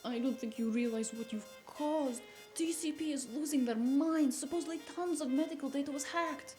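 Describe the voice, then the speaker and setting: A woman playing a blunt, exasperated, sharp-tongued shaman in a cyberpunk audio drama. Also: high-pitched, moderate pace, faint music behind, close to an everyday voice. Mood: alarmed, scolding. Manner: blunt, sardonic.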